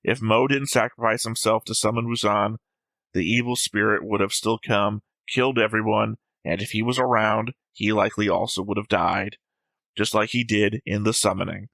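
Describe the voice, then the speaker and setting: Male co-host, bright and sharp tone, analytical and playful, dry close mic. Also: lowish voice, fairly even intonation, moderate pace, gravelly, no room sound. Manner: analytical, jokey, acts out quotes. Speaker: reaction show co-host. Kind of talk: casual discussion reading notes.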